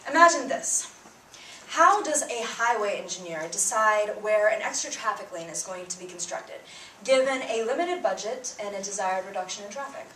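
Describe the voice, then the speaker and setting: A woman's voice: on the high side, a bit bright, a little hiss or hum. Young woman giving a roomy hall talk. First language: Bengali. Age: young adult. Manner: earnest, emphatic, rising on openers. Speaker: student speaker. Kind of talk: prepared public talk. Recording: roomy sound, mic at a distance.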